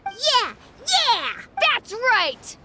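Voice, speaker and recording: Tiny childlike sprite, squeaky, extremely high, swooping pitch, excitable, game dialogue. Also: female voice, extremely slow, noisy background, exaggerated voice. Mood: cheering. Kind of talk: scripted game voice acting.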